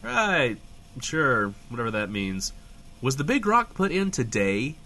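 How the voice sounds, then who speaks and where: A man's voice: mid-range voice, very animated, measured pace, bright, forceful tone, heavily acted. A man playing a baffled, polite small-town radio host, hesitant and bemused in a comedic interview.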